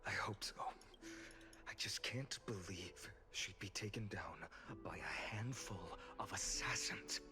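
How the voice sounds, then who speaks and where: A man playing an earnest young hero in a video game, speaking in a serious, measured way. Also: low voice, music in the background. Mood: tense, worried.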